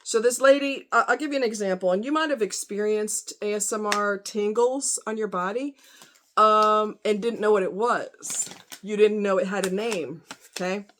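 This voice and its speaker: A woman's voice: mid-range voice, moderate pace, a bit bright, slight background noise. A woman vlogger chatting casually to her audience in a rambling, conversational way with frequent asides. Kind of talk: casual chat to camera.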